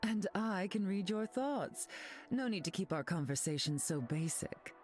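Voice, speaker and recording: A woman's voice: mid-range voice, animated, measured pace, a little mellow, faint music behind, lightly acted. A woman voicing a vain, glamorous telepathic queen in a video game, sardonic and teasing. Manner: sardonic, teasing, self-admiring.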